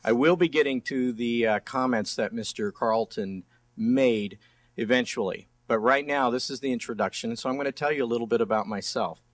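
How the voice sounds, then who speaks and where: A man's voice: medium pitch, normal speed. A middle-aged man speaking as a candidate and district attorney in a debate, plain-spoken and often restarting his phrases. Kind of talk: political debate speech.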